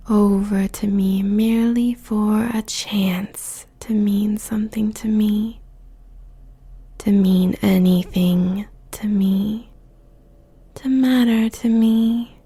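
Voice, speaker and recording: Casting: woman to play succubus queen for intimate audio drama. Very slow, sultry, possessive delivery, hushed and husky, narrow even pitch. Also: full character voice. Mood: taunting, tender. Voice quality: breathy whisper with gravelly fry. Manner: slow, deliberate, sultry, menacingly tender. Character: seductive, possessive female demon queen, a succubus. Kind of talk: whispered intimate audio roleplay monologue.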